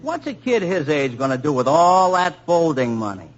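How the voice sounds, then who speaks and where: An actor playing a brash, slangy young male newspaper reporter with a husky voice, in a 1940s radio drama on a muffled old broadcast. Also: fairly high pitch, sing-song, normal speed.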